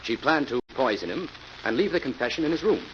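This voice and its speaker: An actor playing a cool, confident crime-fighter in an old radio mystery, speaking with assured deliberation. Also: male voice, mid-range voice, animated, fast talker, lightly acted. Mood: assured, explaining. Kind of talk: 1940s radio acting. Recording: dull, faintly noisy old radio recording.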